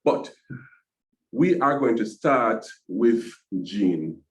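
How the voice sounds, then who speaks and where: A man hosting an online business panel, halting and restarting phrases with small chuckles. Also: medium pitch, expressive, roomy sound. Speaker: webinar host, business agency executive. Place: video call.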